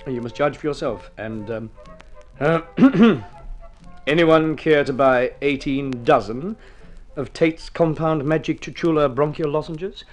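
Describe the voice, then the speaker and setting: An actor playing a polite, worldly, wryly long-suffering gentleman in a vintage radio drama. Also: fairly high pitch, measured pace, close to an everyday voice. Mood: wry resignation. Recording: vintage radio recording with music.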